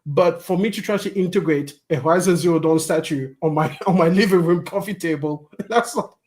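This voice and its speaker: A man with a fairly high voice hosts a gaming podcast in casual chat. He is animated and swears freely. He often breaks into laughter. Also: brisk.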